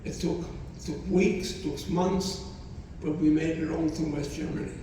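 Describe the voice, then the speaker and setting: A man's voice: on the high side, steady tone, mellow, far from the mic, a little hiss or hum. Elderly man speaking in an echoey hall. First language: not English. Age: 90s. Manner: halting, repeats phrases, speaks with feeling. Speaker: Holocaust survivor speaker. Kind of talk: testimony to students. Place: college hall.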